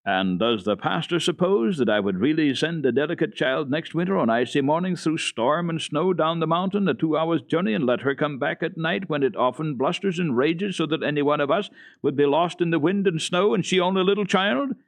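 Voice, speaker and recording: A man's voice: fairly high pitch, expressive, brisk, dry recording, voice pushed up. A man voicing a stubborn, bitter old mountain grandfather in a storybook reading, speaking firmly and bluntly. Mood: indignant, defiant. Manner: decided, firm, blunt.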